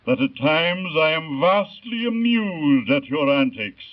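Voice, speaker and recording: An actor playing an imperious god of superstition in a 1930s radio drama, declaiming pompously on a dull vintage recording. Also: male voice, fairly high pitch, very animated. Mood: gloating, mocking. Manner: pompous, slow, theatrical declaiming. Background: music.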